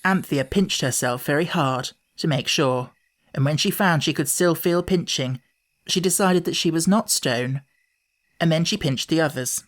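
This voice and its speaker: A woman's voice: sits low, normal speed. A woman reading a scripted children's story as an audiobook narrator.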